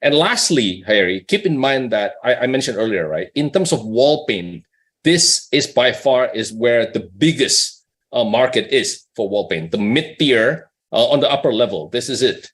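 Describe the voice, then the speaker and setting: A man's voice: mid-range voice, lively intonation, normal speed, a bit bright. A man speaking as a company executive on an earnings call, explaining in a conversational way with rhetorical questions and check-ins with the listener.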